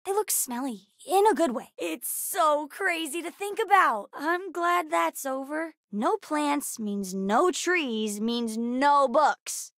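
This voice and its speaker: A woman voicing a bright, bookish, sassy young girl in a video game, earnest, chatty and precocious. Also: sits high, expressive, slow, creaky, hardly any pauses, no room sound, studio quality, exaggerated voice. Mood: playful, curious. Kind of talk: video game character dialogue.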